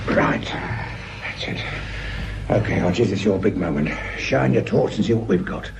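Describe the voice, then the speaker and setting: A man playing a gruff, world-weary older police sergeant with a British accent, grumbling and sardonic in a radio crime drama. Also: sits low, lively intonation, a little slow, heavily acted. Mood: wry, hushed. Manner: grumbling, sardonic, hesitant muttering. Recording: noisy radio drama track with ambience.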